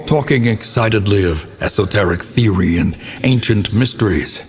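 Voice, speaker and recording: An actor playing a grave, erudite older scholar in a horror game, narrating a dark occult past with solemn, deliberate, ornate diction. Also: male voice, on the low side, husky. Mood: grave, brooding. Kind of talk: dramatic scripted gothic narration. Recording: long cavernous reverb.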